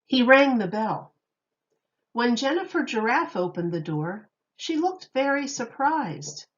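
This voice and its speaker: Female storybook narrator, teacher style: very slow, emphatic, expressive delivery, mid pitch, slightly dull recording. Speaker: teacher reading aloud.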